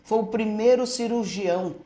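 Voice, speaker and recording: An older woman recalls her teaching days at a steady, mid-range pitch. She tells her stories in a loose, conversational way, often checking in with a quick "né?". Her voice carries the echo of a bare room. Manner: storytelling, tags statements with "né?". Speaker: retired school director and teacher. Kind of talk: reminiscing interview answers. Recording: echoey room.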